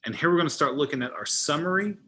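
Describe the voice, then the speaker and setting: A man's voice: on the high side, expressive, fast talker, sharp tone. A man giving an informal tutorial as a trainer, thinking aloud as he demonstrates each step on screen. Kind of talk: informal screen-share walkthrough.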